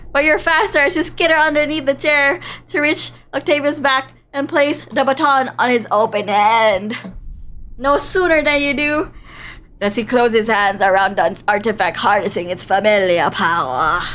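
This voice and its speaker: A woman's voice: high voice, fairly bright tone. A woman streamer reading a visual novel aloud in a playful, dramatic way, breaking off for casual asides. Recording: music behind the voice. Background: game background music.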